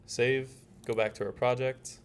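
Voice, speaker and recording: A young man presenting a casual software tutorial, talking informally as he demonstrates, with keyboard typing. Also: medium pitch, steady tone, unhurried. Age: young adult. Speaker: workshop presenter. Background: faint room noise, keyboard typing.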